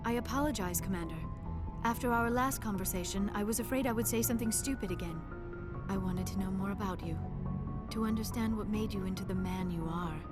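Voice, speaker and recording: An earnest alien scientist played by an actress in a video game, shy and a little awkward with people. She speaks in careful, formal phrases at a middling female pitch. Music plays clearly behind her. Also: fairly quick, lightly acted. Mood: hesitant, tender. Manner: formal, careful diction without contractions. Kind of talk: video game drama acting. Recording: loud music behind dialogue.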